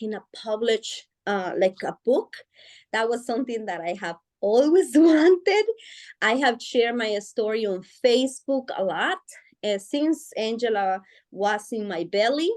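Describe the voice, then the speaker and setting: A woman whose first language is Spanish speaks in a fairly high voice at an unhurried pace. She is an author guest in a relaxed interview, searching for words with frequent fillers and restarts. Laughter slips into her sentences as she talks. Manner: hesitant, many fillers and restarts; emotional, laughs while speaking. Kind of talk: casual interview chat.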